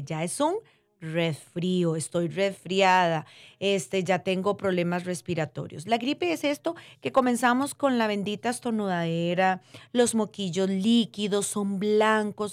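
A woman's voice: mid-range voice, no echo. Woman doctor explaining in everyday terms, dry sound. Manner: didactic, uses diminutives and check-in questions. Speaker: doctor interviewed as guest expert. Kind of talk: conversational medical explanation for lay listeners.

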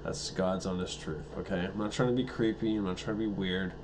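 A man's voice: low-pitched, a little fast, noisy background. A man in his thirties co-hosting a podcast, joking and teasing in casual, crude chat. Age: 30s. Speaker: podcast co-host.

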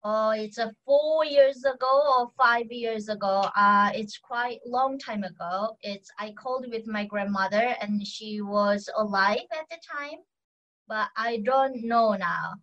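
A young woman with a Korean accent, speaking English as a second language, talking as a guest in an online conversation and often pausing to search for words. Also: on the high side, fairly bright tone, lo-fi sound. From North Korea. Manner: halting, searching for English words, many pauses. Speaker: guest, defector.

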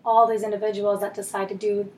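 A woman chatting casually in a podcast conversation, emphatic and quick to laugh. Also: mid-range voice, little pitch movement, darkish tone, slight background noise.

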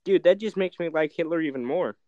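A man's voice: fairly high pitch, fairly quick, dark tone, dry recording, muffled recording. Teasing young man, crude podcast banter. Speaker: podcast co-host.

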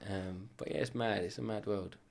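A man, a business owner chatting as a podcast guest and telling quick, animated stories with asides. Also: on the low side, gravelly. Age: 30s. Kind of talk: casual podcast chat.

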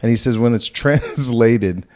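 A man's voice: mid-range voice, unhurried, a little mellow. A man co-hosting a podcast in casual chat, laughing often and talking loosely with swearing. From Texas. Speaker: podcast co-host.

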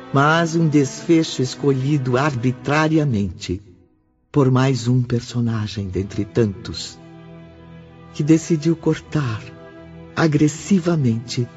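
A solemn storyteller narrates a radio drama at a very slow, deliberate pace. The pitch is medium, and the sound is dull and lo-fi with clear music behind it. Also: male voice, darkish tone. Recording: dull lo-fi audio over music. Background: prominent music.

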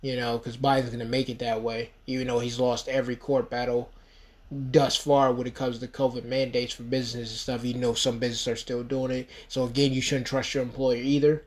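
A man's voice: mid-range voice, little pitch movement, fairly quick. 30s guy rambling on his own podcast, emphatic, says you know a lot. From United States. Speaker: podcast host. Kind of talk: solo podcast monologue.

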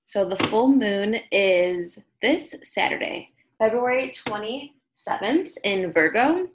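A woman co-hosting a podcast on a video call, speaking in a sleepy, slightly raspy voice and explaining astrology in casual chat with lots of fillers. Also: mid-range voice, animated, slow pace, slight background noise, poor audio. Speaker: podcast co-host.

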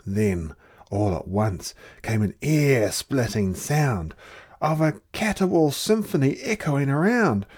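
A storyteller reading a rhyming children's picture book aloud in an expressive, theatrical way. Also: medium pitch, slow, slightly rough. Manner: theatrical, dramatic delivery.

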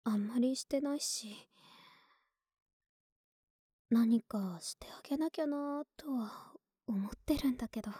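Breathy, intimate big-sister neighbor played by a woman. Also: on the high side, unhurried, pauses often, dry recording, clean studio sound. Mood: tender, confiding. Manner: intimate, soft, often whispering, teasing. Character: affectionate, clumsy, lonely young woman neighbor, playful big-sister type. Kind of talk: Japanese ASMR situation voice drama.

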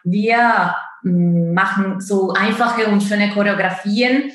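A woman's voice: mid-range voice, fairly even intonation, slow, roomy sound. A woman from Italy whose first language is Italian, speaking with an Italian accent as an interview guest, hesitant and full of fillers. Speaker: guest, dance course founder. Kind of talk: interview answers.

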